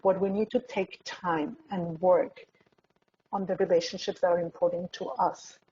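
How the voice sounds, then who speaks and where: A woman with a Mexican accent, a native Spanish speaker with a husky voice, speaking as a personal development coach in a motivational talk, addressing listeners directly. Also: lowish voice, fairly even intonation, moderate pace, dark tone, slight background noise. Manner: direct address with rhetorical questions. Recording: dull, slightly muffled lo-fi sound.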